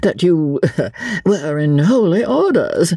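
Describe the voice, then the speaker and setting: A woman voicing a foppish, good-humoured English gentleman who plays the fool, dithering and chuckling in an affected drawl, for an audiobook. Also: fairly low pitch, big pitch swings, slow pace, breathy edge, no room sound, clean studio sound, pitched above the performer's own voice, full character voice. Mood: teasing. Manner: affected drawl, apologetic chuckling and dithering, old-fashioned exclamations. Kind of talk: dramatised audiobook character voice.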